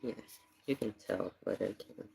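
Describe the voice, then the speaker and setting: A live streamer chatting casually in halting, murmured asides. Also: mid-range voice, gravelly, warm tone, a little hiss or hum, low-quality recording. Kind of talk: casual livestream chat.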